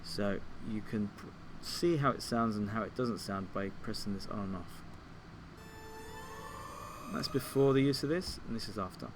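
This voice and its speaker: A man giving an informal step-by-step software tutorial as a music tutor, thinking aloud a little hesitantly. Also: on the low side, moderate pace, noisy background.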